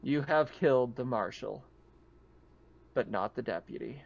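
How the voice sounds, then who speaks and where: A man's voice: medium pitch, unhurried, creaky, highs cut off. A man acting as game master, casually refereeing rules and descriptively narrating a tabletop roleplaying game.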